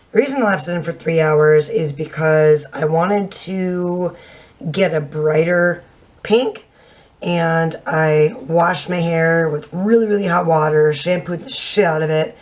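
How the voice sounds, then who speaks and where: Kinda hoarse woman in her 30s, lowish voice, rambling casual review to her camera at home, swears a bit. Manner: frank and chatty, casual swearing. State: slightly hoarse from little sleep. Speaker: beauty vlogger. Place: home room with shelves, indoors.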